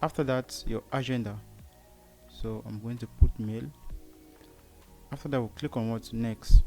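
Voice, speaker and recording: A man's voice: mid-range voice, steady tone, unhurried, dark tone, soft background music. A man with a Cameroonian accent hosts a step-by-step tutorial, often asking a short question and answering it himself. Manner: asks rhetorical questions and answers them. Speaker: tutorial host. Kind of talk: step-by-step screen tutorial narration.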